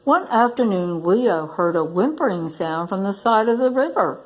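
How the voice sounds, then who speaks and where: A woman reading a children's picture book aloud in a deliberate, expressive storytelling manner. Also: mid-range voice, low-quality recording. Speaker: library staff story reader.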